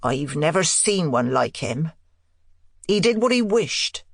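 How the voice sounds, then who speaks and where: Woman voicing a troubled, plain-spoken older housekeeper, slow-paced. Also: deep voice, sing-song, vocal fry, no echo, clean studio sound, exaggerated voice. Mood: grave. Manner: halting, woeful, hushed dread. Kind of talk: audiobook character dialogue.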